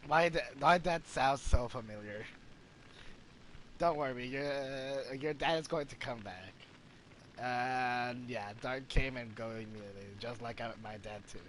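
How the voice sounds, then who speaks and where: A man giving casual, halting reaction commentary as he streams a game. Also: mid-range voice, fairly bright tone, a little hiss or hum. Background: game music.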